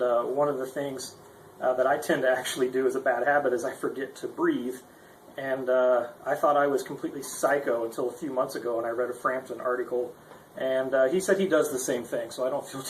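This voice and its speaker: A man giving an informal, unscripted gear demonstration in a rambling, self-deprecating, conversational way, as the presenter of a guitar tutorial. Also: medium pitch. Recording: echoey room with faint noise.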